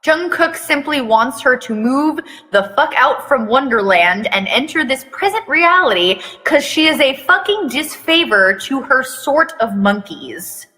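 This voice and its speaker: A woman's voice: high-pitched, sing-song, measured pace, hardly any pauses, sharp tone. A woman giving a mock-dramatic reading of fan fiction, breaking off for blunt, sweary, casual asides. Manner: theatrical reading broken by blunt, sweary commentary. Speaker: fan fiction reader and commentator. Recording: echoey reverberant room.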